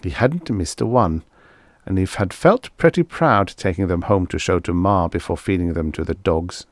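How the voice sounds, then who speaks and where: A man's voice: deep voice, lively intonation, moderate pace, no echo, studio quality. A man reading a scripted story as an audiobook narrator.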